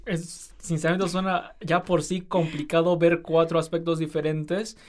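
A man's voice: fairly high pitch, little pitch movement, a little slow. A man hosting a student podcast interview, asking questions in a friendly way with frequent fillers. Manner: friendly, lots of fillers like "eh" and "este".

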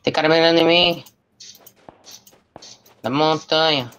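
A young man, chatting casually and excitably while streaming a shooter game, repeating words and joking. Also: on the high side, a little slow, slight background noise. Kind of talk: casual gaming chat.

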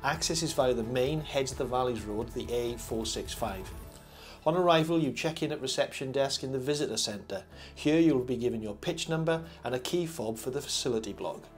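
An older man reading a scripted review voice-over as host, in a measured, formal presenter manner. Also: mid-range voice. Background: background music.

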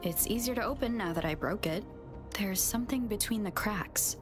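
A woman's voice: mid-range voice, moderate pace, natural voice. An actress voicing a level-headed young woman adventurer thinking aloud in a video game, thoughtful and matter-of-fact. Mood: pensive. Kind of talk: video game inner monologue. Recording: faint game music behind.

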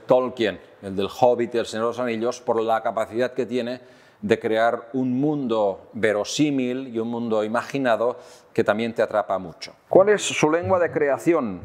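A man in his fifties with a Catalan accent speaks in a calm, measured way, at an average pitch and with frequent pauses on "eh". He talks straight to the camera in a room with tall windows. Faint music plays behind him. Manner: calm, measured, with many "eh" fillers. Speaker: children's author answering questions. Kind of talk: recorded talk to camera for children.

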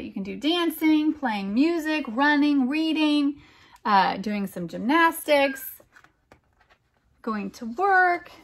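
A middle-aged American woman reads a storybook to small children in a fairly high voice. She takes it very slowly, stressing key words and slipping in conversational asides. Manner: animated, emphatic, deliberate phrasing for young children. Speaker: children's storytime reader, teacher. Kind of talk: expressive picture-book read-aloud with chatty asides.

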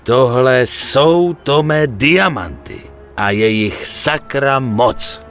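An excitable, greedy gangster sidekick in a video game drama. His voice swings widely in pitch, with a forceful, gravelly edge. He wheedles and swears as he goes. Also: faint music behind. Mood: excited, tempting. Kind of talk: dubbed video game cutscene acting.

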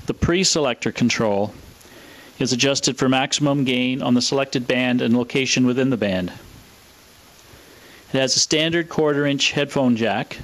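A man with a mid-pitched voice delivers scripted, explanatory narration. He is methodical and matter-of-fact, laying out technical details in an orderly way, as the presenter of an equipment walkthrough. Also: moderate pace, fairly bright tone. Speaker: presenter of a vintage radio equipment video.

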